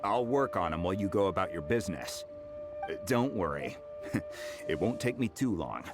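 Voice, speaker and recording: An easygoing male craftsman from a video game. He is fairly low and very animated in pitch, casual and reassuring, with clear music underneath. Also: moderate pace. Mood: relaxed, confident.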